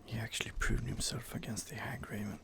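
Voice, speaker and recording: A man whispering softly and rambling as he thinks aloud, doing ASMR game commentary close to the microphone. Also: on the low side, little pitch movement, a little fast, vocal fry. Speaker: streamer playing a roleplay game.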